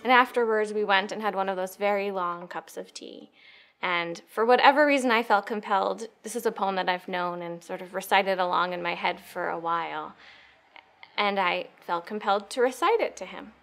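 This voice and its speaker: A young American woman tells personal stories reflectively, with thoughtful, measured phrasing. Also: mid-range voice, a bit bright, faint music behind. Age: young adult. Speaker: poetry reader telling personal stories.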